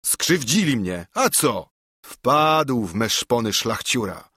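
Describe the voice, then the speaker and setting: A man's voice: mid-range voice, very animated, slow, pushed and bright, breathy edge, no room sound, clean studio sound, exaggerated voice. A man voicing a haughty, greedy army major in a story, blustering with angry, sneering menace. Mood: gloating, indignant.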